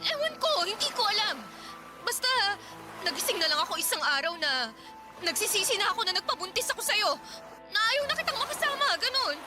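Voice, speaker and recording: Jealous young mother, Filipino accent, very high pitch, bitter, confrontational, radio drama, music underneath. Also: sing-song, a little fast. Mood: scornful. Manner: confrontational, accusing, nagging.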